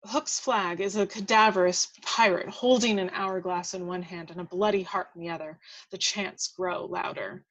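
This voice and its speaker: A woman's voice: fairly low pitch, fairly even intonation, moderate pace, fairly bright tone. A woman reading scripted action descriptions aloud at a table read, now and then stumbling and correcting herself.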